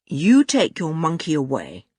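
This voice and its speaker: A woman voicing a sensible older boy, calm, gentle and firm, in a children's story. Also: lowish voice, a little slow, slightly rough, dry recording, heavily acted. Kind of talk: children's audiobook character voice.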